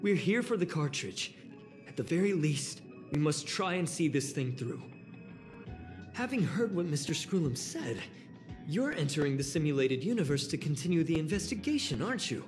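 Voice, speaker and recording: A woman's voice: sits low, very animated, moderate pace, a little mellow. A woman voicing a nervous, earnest, self-blaming young office assistant in a video game. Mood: downcast, earnest. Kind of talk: video game character dialogue. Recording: game music behind the voice.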